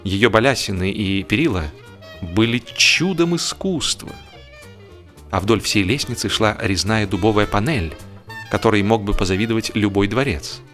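Low-voiced male storyteller with music behind. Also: moderate pace, fairly bright tone. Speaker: audiobook narrator. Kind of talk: expressive humorous literary narration.

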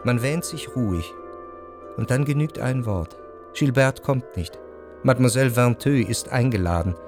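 An actor playing a jealous gentleman narrating a literary radio play. His voice is medium in pitch, introspective and measured, with faint music underneath. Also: animated, close to an everyday voice. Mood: brooding, anxious. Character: jealous, brooding gentleman recalling suspicions in love.

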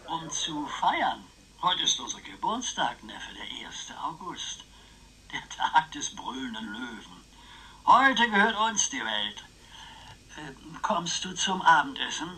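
A man's voice: a little high, big pitch swings, slow pace, bright, forceful tone, exaggerated voice, low-quality recording. A male actor playing an eccentric old uncle in a radio play, theatrical, rambling and needling, styling himself a dangerous psychopath. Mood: teasing. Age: older.

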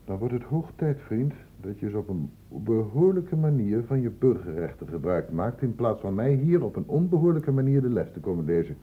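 An actor playing a stern doctor in a radio play, patient but testy, explaining things with measured, sardonic authority. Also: male voice, on the low side, very animated, husky. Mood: sardonic, irritated. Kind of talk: Dutch radio drama acting. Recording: muffled, narrow-band old radio recording, noisy.

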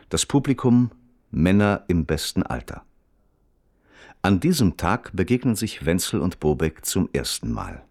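Guy narrating a radio play like a factual report, super deep but lively intonation, measured. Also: no echo, clean studio sound. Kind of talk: scripted narration, documentary-like report. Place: dry studio.

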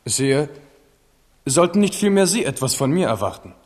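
A man's voice: a little high, sing-song, normal speed, no echo. An actor playing a self-assured, spirited young king in a German radio drama, courteous and earnest in his speech.

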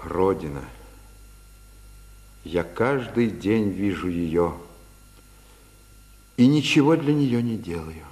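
An actor playing a proud, brooding Italian violinist with theatrical, poetic delivery in a 1950s Soviet radio drama. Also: male voice, low voice, expressive, slow, pauses often, darkish tone. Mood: grave.

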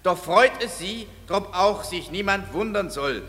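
A self-important amateur craftsman who recites his lines stiffly. His voice is high and bright. A long reverb surrounds it. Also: animated, measured pace. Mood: pompous. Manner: stilted, pompous recitation. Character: earnest amateur craftsman announcing a play. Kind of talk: classic radio play acting.

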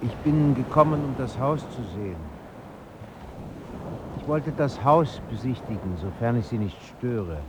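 An actor playing a polite, pensive but insistent writer in a radio drama. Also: male voice, medium pitch, expressive, a little slow, noisy background, lightly acted. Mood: earnest, insistent. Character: polite, pensive, insistent male writer revisiting his past.

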